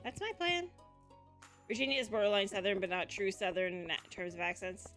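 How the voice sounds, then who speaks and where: A woman streamer from the Pacific Northwest chats while she plays, her tone bright and forward at a middling pitch. She rambles at an easy pace, filling gaps with ums, over faint game music. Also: big pitch swings. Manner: unhurried, rambling, many ums. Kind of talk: casual chat while gaming.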